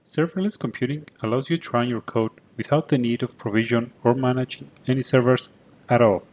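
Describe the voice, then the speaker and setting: Greek Cypriot man, 30s, tech presenter; clear, methodical delivery at a middle pitch. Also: brisk, a little mellow, slight background noise. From Cyprus. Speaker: conference presenter. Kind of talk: prepared conference talk.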